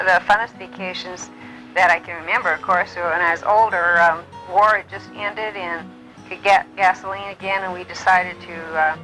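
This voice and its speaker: An elderly woman from Illinois in her nineties, gently recalling her life in an unhurried way, often pausing with um and uh. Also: fairly low pitch, poor audio. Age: older. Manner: gentle, unhurried storytelling with many ums and uhs. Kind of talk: reminiscing, answering memory prompts.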